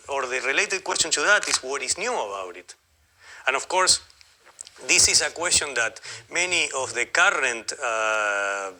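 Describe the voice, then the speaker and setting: A man with an Argentine Spanish accent speaks as a guest lecturer. His voice is mid-pitched, very bright and tense. He talks in a halting, discursive manner, often correcting himself as he goes. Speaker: guest lecturer, academic historian. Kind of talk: spontaneous academic lecture.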